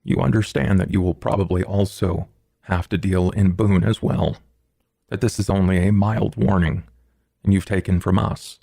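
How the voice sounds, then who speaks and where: A man playing a courtly, stern gentleman vampire, speaking in a measured, formal and proper way. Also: low-pitched, fairly even intonation, creaky, no echo, clean studio sound. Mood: formal, businesslike.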